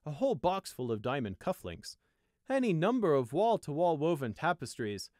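A pompous male unicorn noble, played by a man reading a storybook, boasts in an airy, self-satisfied voice. His pitch is raised and swoops freely as he savours his words. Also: very animated, moderate pace, darkish tone, no room sound, studio quality.

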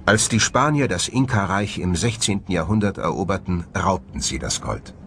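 A man's voice: deep voice, animated, moderate pace, gravelly, a bit bright, a little hiss or hum. A man giving scripted narration as the presenter of a documentary. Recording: music behind the voice. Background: background music.

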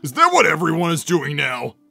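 Man acting a gravelly, grumpy echidna guardian. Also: a little high, huge pitch swings, a little fast, bright, forceful tone, soft background music, heavily acted. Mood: weary. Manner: gruff, weary, irritable. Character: gruff, grumpy young male echidna guardian. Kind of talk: fan-made animated series voice acting.

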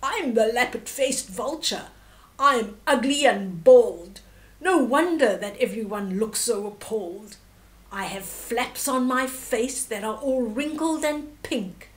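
A woman's voice: fairly high pitch, lively intonation, slow. A woman voicing a bald, smelly vulture in a storybook, proudly revolting and emphatic. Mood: proudly disgusting. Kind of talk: storybook character reading.

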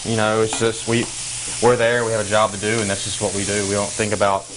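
A young firefighter and paramedic chatting easily while cooking on a TV studio kitchen set. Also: male voice, on the low side, flat delivery, quick pace. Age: young adult. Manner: easygoing, full of fillers, talks while stirring a pan. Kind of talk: casual chat while cooking. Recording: clear background noise, sizzling pan.

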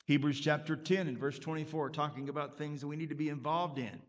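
A man's voice: a little high, steady tone, brisk, muffled recording. A man preaching a sermon as pastor, emphatic and punctuated with frequent amens. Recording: long reverb of a church hall.

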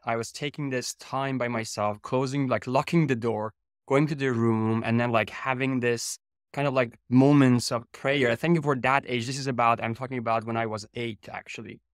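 A man in his thirties from Iran, raised in Canada, talking as a guest researcher over a video call from a home office, speaking haltingly with many fillers. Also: medium pitch, dry recording, studio quality. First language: Persian. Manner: hesitant, searching for words with many fillers. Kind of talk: relaxed video-call interview.

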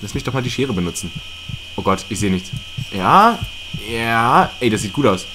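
A young man co-hosting a gaming video, bantering casually with excitable yelling and laughter. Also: medium pitch, moderate pace, background noise. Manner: excitable, yelling and laughing, silly English asides. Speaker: gaming channel co-host. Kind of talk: casual let's-play banter. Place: small room at home, seen on webcam.

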